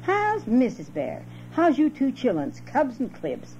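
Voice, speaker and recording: A woman's voice: sits high, huge pitch swings, a little slow, darkish tone, voice pushed up, full character voice. A woman voicing a cheerful, sly male trickster rabbit in a storybook, with folksy, persuasive dialect, on a home cassette recording. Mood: cheerful, teasing. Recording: dull, noisy home cassette with hum.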